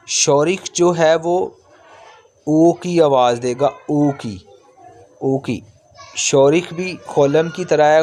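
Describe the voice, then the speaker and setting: A man's voice: medium pitch, darkish tone, a little hiss or hum. A man giving an instructional language lesson, explaining step by step, repeating himself and sounding out vowels at length. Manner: repetitive, step-by-step explaining with drawn-out sample sounds. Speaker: language teacher.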